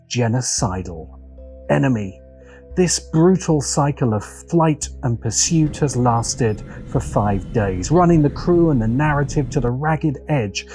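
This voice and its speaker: A man narrating a scripted video essay in a measured, dramatic way, with deliberate phrasing and pauses. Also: mid-range voice. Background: background music.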